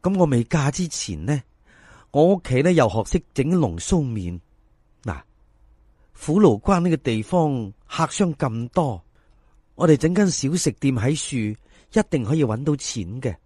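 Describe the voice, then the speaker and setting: A man's voice: mid-range voice, big pitch swings, dark tone, breathy edge, no room sound, clean studio sound. A man voicing a humble, resolute young woman in Cantonese, speaking politely and sincerely in a dramatised folk story. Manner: polite, measured, pleading sincerity.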